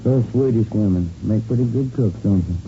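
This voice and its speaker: An easygoing police partner from a vintage radio crime drama. His voice is on the low side, with a folksy, understated curiosity. The old recording sounds muffled. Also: moderate pace, creaky, music in the background. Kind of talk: 1950s radio police drama acting, casual dialogue.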